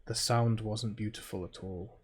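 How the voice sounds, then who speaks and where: A British man hosting a game playthrough, chatting casually and reading story text aloud in a low-key, halting way. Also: steady tone, husky. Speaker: gaming video host playing a visual novel.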